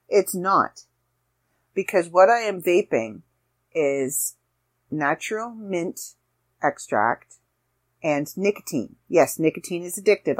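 A woman hosting a casual solo podcast, chatty and rambling with self-deprecating asides, her voice slightly raspy. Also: lowish voice. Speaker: podcast host.

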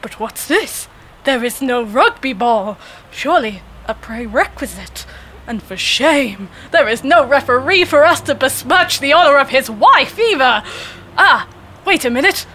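A woman playing a pompous, jolly, oblivious older British gentleman, a former sportsmaster, with theatrical old-fashioned bluster in a comedy sketch. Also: expressive, normal speed, a little hiss or hum, poor audio. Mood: earnest, excited. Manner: booming bluster, old-fashioned posh exclamations.

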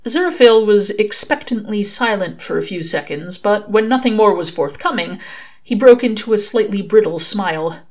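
Female author-narrator, mid-pitched, wry delivery of scripted prose. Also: lively intonation, moderate pace. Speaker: author reading their own fan fiction.

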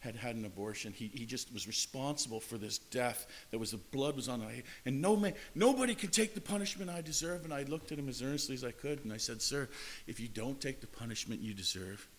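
A man's voice: medium pitch, brisk, slight background noise. A man preaching a sermon in an earnest way, often repeating and restarting his words. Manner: earnest, repetitive phrasing with frequent restarts.

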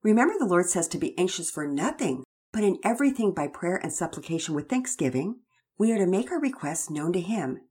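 A woman voicing a patient, devout father who gently teaches his daughter. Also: fairly low pitch, big pitch swings, brisk, slightly rough. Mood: instructive. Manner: gentle, instructive, scripture-quoting.

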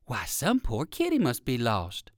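A man voicing a polite, curious old gentleman rabbit in a children's storybook, expressive and kindly. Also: mid-range voice, measured pace, dry recording, clean studio sound. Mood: curious, surprised.

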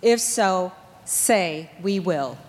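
A woman's voice: lowish voice, lively intonation, drawn-out words. A woman formally and earnestly asking a congregation to pledge its support to new members. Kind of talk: liturgical question to congregation. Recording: long hall reverb.

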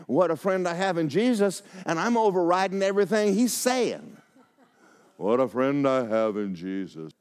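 An older man preaching a sermon, telling personal stories in a folksy, animated way with many restarts and acted-out quotes. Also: sits high. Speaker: pastor.

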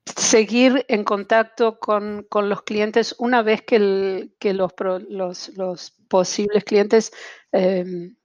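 A woman's voice: medium pitch, little pitch movement, slow, darkish tone, no echo. Woman, Argentine accent, interview guest, hesitant, dull lo-fi sound, dry. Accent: Argentine Spanish. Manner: hesitant, many fillers and restarts. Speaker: guest, translation-tool specialist. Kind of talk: interview over video call.

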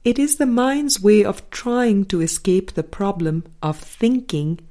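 A woman reading a spiritual book aloud as scripted narration, in measured, deliberate phrases with pauses. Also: mid-range voice, dark tone. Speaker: audiobook reader.

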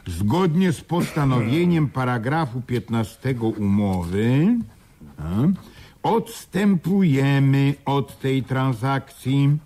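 Excitable, husky-voiced businessman. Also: medium pitch, takes it slowly. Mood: dictating. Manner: flustered, stammering and repeating words, chuckling. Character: excitable, scatterbrained businessman, gleeful company partner. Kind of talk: 1980s Polish radio play acting.

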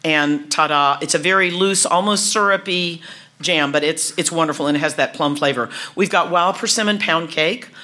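A middle-aged woman, talking casually to an audience, chatty and warm, with many restarts and laughs. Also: low-pitched, moderate pace.

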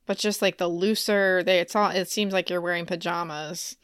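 A woman's voice: fairly low pitch, steady tone, moderate pace, a bit bright, smooth, no room sound, studio quality. A woman chatting casually and informally as a podcast co-host, easily amused. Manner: laughs readily. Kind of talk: casual chat.

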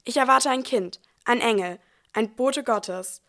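A woman's voice: fairly high pitch, a little slow, fairly bright tone. A woman playing a devout, ordinary young expectant mother who tells her story in a scripted nativity audio play. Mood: wondering, subdued.

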